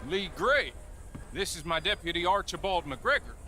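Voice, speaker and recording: A man's voice: high voice, lively intonation, normal speed, over music. An actor playing a wary, middle-aged male town sheriff in a game, speaking in a dry, clipped and sardonic way. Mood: guarded.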